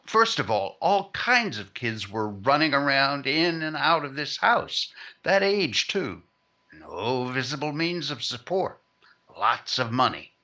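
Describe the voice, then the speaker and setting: A dogged newspaper reporter from a vintage crime drama, acted by a man. His voice is bright and forceful, swinging widely in pitch. He speaks unhurriedly yet with insistent urgency, in old-time radio style. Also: full character voice.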